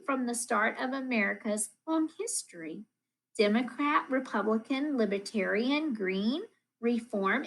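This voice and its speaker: Female storybook reader, school-educator read-aloud. Higher register, unhurried pace, rhythmic and expressive delivery of children's verse. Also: gravelly, rough recording. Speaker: school math coach reading aloud.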